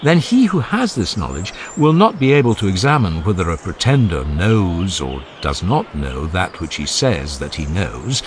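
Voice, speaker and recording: A man reads a dramatized classical dialogue in a deep voice with very animated intonation. His delivery is formal, measured and emphatic. Faint ambient noise sits behind him. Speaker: audiobook narrator. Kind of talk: dramatized scripted reading of a classical philosophical dialogue.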